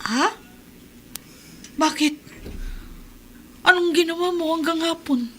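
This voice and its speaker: An actress playing a peace-loving, anguished wife in a radio drama, with an emotional, pleading way of talking. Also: female voice, extremely high pitch, takes it slowly, fairly bright tone, a little hiss or hum, exaggerated voice. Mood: suspicious. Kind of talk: Tagalog radio drama acting.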